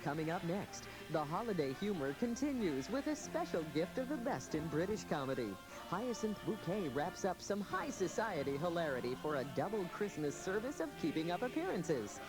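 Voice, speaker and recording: A man delivers a scripted television promo as a station announcer. His slightly high voice swings in an animated way, over clear background music. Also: normal speed, hardly any pauses, low-quality recording.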